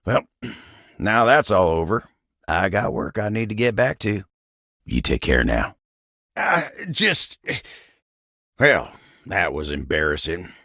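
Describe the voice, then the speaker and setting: A living wooden table from a video game, gruff, proud and old-fashioned, with a gravelly Southern country drawl. He takes his time and his pitch swings widely as he grumbles, bashful and easily flustered. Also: big pitch swings, pushed and bright, dry recording, studio quality, heavily acted. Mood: sheepish, earnest. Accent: American Southern or rural Western drawl. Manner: folksy, grumbling, flustered, halting when embarrassed. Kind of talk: video game character voice acting.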